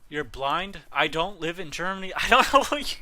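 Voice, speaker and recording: A man's voice: sits high, lively intonation, normal speed, bright, forceful tone. A young man hosting a live game stream, chatting casually and answering chat aloud, often laughing.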